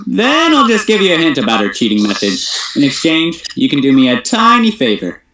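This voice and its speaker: A man's voice: a little high, wildly swooping pitch, brisk, sharp tone, heavily acted. A man voicing a cocky, scheming young gamer in an anime fandub, taunting and sarcastic. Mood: smug, bargaining. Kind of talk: anime fandub script reading. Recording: echoey room, faint background noise.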